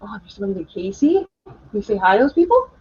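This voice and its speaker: Female teacher, informal and encouraging, average pitch, muffled video-call sound. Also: big pitch swings, racing, darkish tone, husky. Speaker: special education teacher presenting. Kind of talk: casual presentation on a video call. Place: indoor school room, on a video call. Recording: dull webcam video-call audio.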